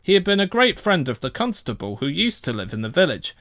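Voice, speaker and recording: A man's voice: on the high side, big pitch swings, a little fast, fairly bright tone, no room sound, studio quality. A man narrating a children's story from a script as a storybook reader.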